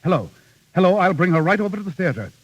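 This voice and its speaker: An actor playing a devoted, exacting acting teacher with precise, theatrical diction, in an old-time drama style. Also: male voice, high-pitched, very animated, fast, husky, exaggerated voice. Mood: urgent. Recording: dull, muffled vintage recording.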